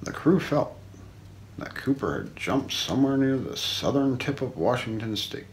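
A man in his forties doing an ASMR role play, narrating an unsolved mystery script in a hushed, deliberate way with trailing phrase ends. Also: medium pitch, lively intonation. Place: small indoor room. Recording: faint background noise.

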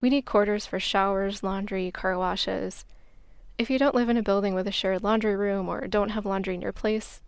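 American woman podcaster reading her travel story, normal pitch, dry recording no room sound. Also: dry recording. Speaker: podcast host. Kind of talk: scripted travel storytelling narration.

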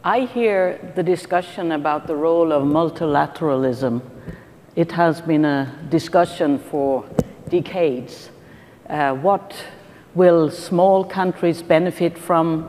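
A middle-aged Norwegian woman on a conference panel, speaking deliberately with frequent pauses. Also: darkish tone. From Norway. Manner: deliberate, hesitant with many uh pauses. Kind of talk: panel discussion. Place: large conference hall stage. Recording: reverberant hall, faint rumble.

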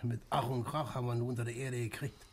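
A placid, philosophical pub companion played by a man in a vintage radio play. His voice sits at a middle pitch. He talks in homely, agreeable phrases. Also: little pitch movement, quick pace, slight background noise, natural voice. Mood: resigned. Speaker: actor. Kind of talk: 1960s German radio play acting.

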